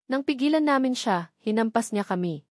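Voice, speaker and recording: A woman's voice: on the high side, expressive, normal speed, darkish tone, no echo, studio quality, lightly acted. A woman voicing a proud, indignant female martial general in a novel narration. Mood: accusing.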